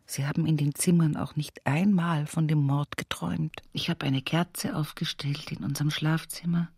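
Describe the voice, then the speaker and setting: A woman's voice: low voice, steady tone, moderate pace, a little mellow, breathy edge, no echo, studio quality. An actress playing an older, pious, plain-spoken village woman with an Upper Palatinate Bavarian accent, reminiscing in a folksy radio play monologue. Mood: plain, devout. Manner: folksy, earthy dialect storytelling with emphatic repetitions.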